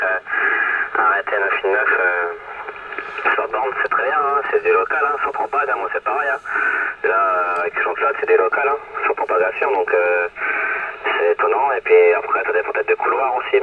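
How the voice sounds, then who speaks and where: Deep-voiced man through very noisy radio. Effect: radio transmission. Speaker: amateur radio operator. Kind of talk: casual radio chat. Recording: very noisy, muffled, distant radio transmission. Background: loud radio static.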